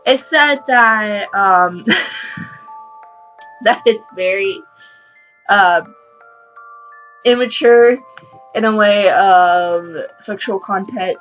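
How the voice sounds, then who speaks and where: A woman hosting a gaming video, reading a story aloud and adding casual, excitable asides. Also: mid-range voice, very slow, pauses often, highs cut off, soft background music. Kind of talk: let's play commentary, reading story aloud.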